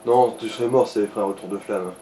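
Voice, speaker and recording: A man's voice: lowish voice, fairly even intonation, quick pace, mellow, slight background noise. A man chatting casually about a video game as co-commentator, blunt and teasing with his friend. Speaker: co-commentator watching a friend play. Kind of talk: casual gaming chat.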